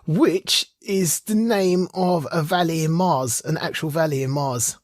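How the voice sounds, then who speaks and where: A man's voice: on the high side, unhurried, dry recording, clean studio sound. A man co-hosting a podcast in casual chat, giving opinions informally and repeating phrases for emphasis. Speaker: podcast co-host.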